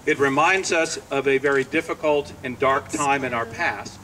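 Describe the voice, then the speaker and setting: Male ceremony speaker, measured and formal, crisp bright tone, outdoors with faint noise behind. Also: medium pitch, far from the mic, rough recording. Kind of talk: formal prepared speech.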